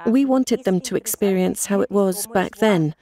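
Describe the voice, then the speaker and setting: An older woman who owns a restaurant, speaking in a measured, reflective way in an interview. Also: mid-range voice, warm tone. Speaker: restaurant owner. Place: indoor wooden restaurant. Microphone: lapel.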